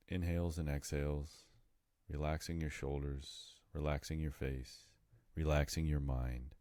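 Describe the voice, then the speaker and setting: A man with an extremely low, mellow voice guides a meditation. He speaks in soothing, measured phrases and leaves deliberate pauses. The sound is dry and close, with no room around it. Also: no echo.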